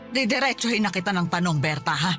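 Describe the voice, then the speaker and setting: A woman's voice: fairly low pitch, animated, quick pace, a bit bright, faint music behind. A woman playing a stern, suspicious, blunt middle-aged mother in a Filipino radio drama. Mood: confrontational, stern. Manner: blunt, disapproving.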